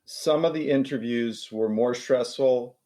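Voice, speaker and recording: A man's voice: medium pitch, unhurried, mellow. A man in his forties from New York who stutters, with repeats and restarts, talking as a guest in a casual interview. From New York, USA. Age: 40s.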